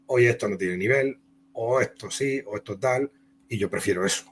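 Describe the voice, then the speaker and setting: A man's voice: medium pitch, normal speed. Spanish man chatting over noticeable hum. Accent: Spanish (Spain). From Spain. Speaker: comic book author and interview guest. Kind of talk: conversational interview, telling career anecdotes.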